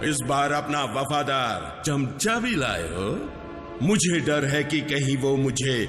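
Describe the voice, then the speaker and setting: A man's voice: mid-range voice, big pitch swings, slow. A man voicing a mocking, grandiose robot tyrant in a theatrical, taunting cartoon dub style. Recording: echoing, long reverb, faint music.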